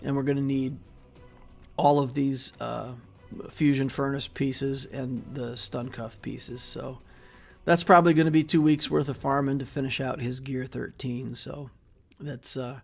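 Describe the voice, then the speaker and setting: A middle-aged man hosting his game channel, giving relaxed, casual commentary over his gameplay with plenty of fillers, recorded at his desk indoors. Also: mid-range voice, unhurried, creaky, soft background music. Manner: relaxed, steady walkthrough with frequent fillers and trailing off. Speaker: mobile game channel host. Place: small room indoors.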